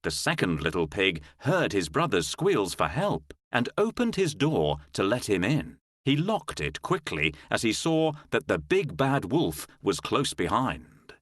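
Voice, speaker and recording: Deep, very expressive male storyteller. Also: a little slow, few pauses, no echo, studio quality. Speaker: storybook narrator. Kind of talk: scripted fairy tale narration for children.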